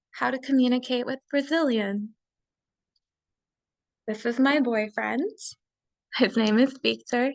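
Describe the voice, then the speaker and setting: A young woman presenting a class assignment over a video call, chatty and quick to laugh, with many fillers. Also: a little high, gravelly, dry recording. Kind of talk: casual slideshow presentation.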